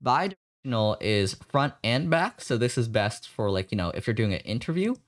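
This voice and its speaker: A man's voice: mid-range voice, big pitch swings, moderate pace. A man mentoring on a call, walking through his audio setup in a loose, filler-heavy way and asking "right?" after nearly every point, speaking into a desktop condenser mic at home. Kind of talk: casual screen-share tutorial on a call. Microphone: desktop USB condenser mic.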